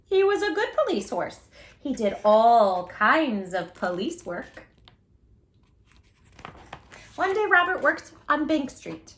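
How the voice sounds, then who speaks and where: A woman reading a children's picture book aloud as a storytime host, theatrically, with drawn-out words and little asides to the listener. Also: high-pitched, big pitch swings, fairly bright tone.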